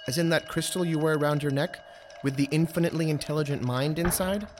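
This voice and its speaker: An actor playing an earnest, formal young Roman army officer in an audio drama. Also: male voice, a little high, fast, a little hiss or hum, lightly acted.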